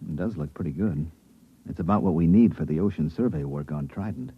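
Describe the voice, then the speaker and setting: An actor playing a cautious, grumbling partner in a space survey team in a 1950s radio drama. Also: male voice, very deep voice, fairly quick, slightly rough, no echo. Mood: doubtful. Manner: grumbling, doubtful. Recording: muffled vintage radio recording.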